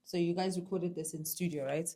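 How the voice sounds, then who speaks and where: A woman's voice: lowish voice, steady tone, brisk, mellow. A young woman hosting an interview with her father, chatty and enthusiastic, turning to speak to her viewers. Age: young adult. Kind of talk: casual interview.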